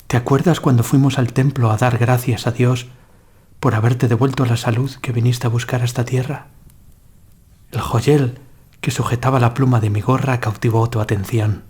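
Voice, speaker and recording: A man's voice: mid-range voice, a little mellow, slightly rough. A man playing a lovesick young nobleman in a gothic tale, tender and wistful, with a solemn courtly delivery. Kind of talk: dramatised gothic tale acting.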